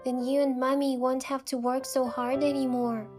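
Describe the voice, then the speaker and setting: A woman's voice: sits high, little pitch movement, normal speed, lightly acted. A woman voicing a sweet, innocent little girl in a game, childlike and eager, with music behind. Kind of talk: game voice acting.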